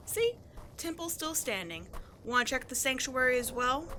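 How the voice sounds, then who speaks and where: A woman playing a cocky, sarcastic tomboy adventurer in an audio roleplay, slangy and expressive in her exasperation. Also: high-pitched, normal speed, a little hiss or hum. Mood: defensive, cocky. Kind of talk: scripted ASMR-style audio roleplay, one-sided dialogue.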